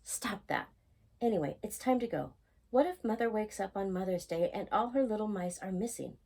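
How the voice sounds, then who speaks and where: A woman's voice: medium pitch, lively intonation, moderate pace, creaky, darkish tone, slightly rough. A woman voicing a bossy, responsible eldest brother mouse in a storybook, firm and instructing. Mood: urgent, firm.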